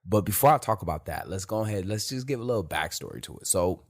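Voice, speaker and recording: Man, very low voice, rambling unscripted podcast host, slangy and profane. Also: animated, fast talker. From Detroit, USA. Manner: loose, rambling, peppered with "you feel me" and profanity. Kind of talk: unscripted casual monologue.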